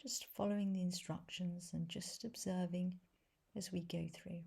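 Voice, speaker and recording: A woman guides a meditation in a fairly low, dark and mellow voice. Her tone is husky and breathy, and she speaks softly and gently. She leaves long pauses between short phrases. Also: level tone. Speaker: meditation teacher. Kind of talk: guided body scan meditation.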